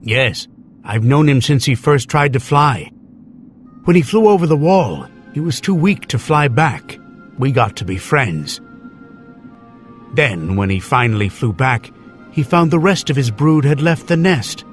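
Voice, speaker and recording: A gruff, lonely old gardener, played by a man in an animated children's tale. He speaks bluntly and tersely, with lively rises and falls over faint music. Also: medium pitch, measured pace. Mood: fond. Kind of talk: animated children's story acting.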